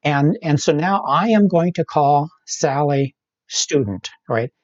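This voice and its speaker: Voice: medium pitch, lively intonation, takes it slowly, no echo. Methodical instructor, slightly dull dry audio. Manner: step-by-step, often checking with "right?" and "all right". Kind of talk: live online tech training, explaining steps.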